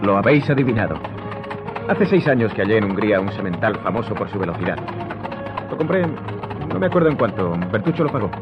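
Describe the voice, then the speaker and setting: Self-assured count in a radio play, lowish voice, talks fast, formal and in command. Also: male voice, expressive. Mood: proud, assured. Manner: formal, self-possessed, authoritative. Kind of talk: Spanish-language radio drama acting. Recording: muffled audio under music.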